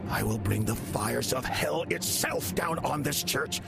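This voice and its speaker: An actor playing a wry, lazy old male demon who poses as a churchgoer in an audio drama, gravelly, husky and theatrically sardonic. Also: mid-range voice, animated, unhurried, loud music behind the voice. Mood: menacing. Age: older.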